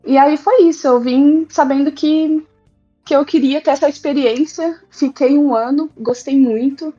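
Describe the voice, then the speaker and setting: A young Brazilian woman shares her story in a relaxed, reflective way. Her voice sits fairly high, and she fills pauses with casual fillers. The recording sounds dull and lo-fi, with faint music behind her. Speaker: guest, au pair living abroad.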